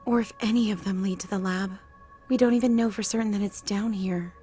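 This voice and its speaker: A worried young woman in a horror audiobook, acted by a woman reader. Her voice is soft-edged and dark, caring yet urgent, with light music behind. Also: mid-range voice, fairly even intonation, a little fast, slightly rough, close to an everyday voice. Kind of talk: dramatised audiobook acting.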